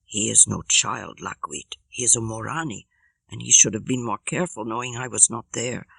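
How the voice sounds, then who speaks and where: A woman's voice: a bass voice, normal speed, vocal fry, sharp tone, breathy edge, no room sound, full character voice. A woman voicing a proud, protective East African warrior in an audiobook, solemn and formal. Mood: stern. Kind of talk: audiobook character reading.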